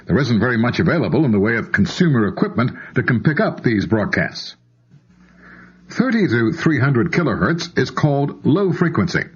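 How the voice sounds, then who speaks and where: A man's voice: mid-range voice, breathy edge. An American man reads scripted instructional narration, explaining things step by step and speaking directly to the listener. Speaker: narrator of an instructional audio tape. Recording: dull lo-fi old cassette tape.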